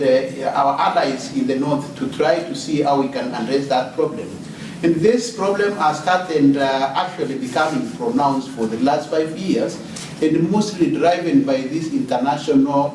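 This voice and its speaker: A man from Kenya speaks up from the floor of a conference hall, stopping often on fillers and restarting his phrases. His voice sits a little high and sounds distant from the mic, with roomy echo around it. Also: darkish tone, slight background noise. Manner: hesitant, many fillers and restarts. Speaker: audience member. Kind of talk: question from the floor at a panel. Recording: echoey hall, far from the mic.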